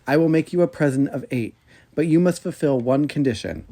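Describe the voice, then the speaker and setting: A man voicing a hard, miserly rich man in a storybook, speaking in measured, formal sentences. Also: on the high side, animated, mellow. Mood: conditional generosity.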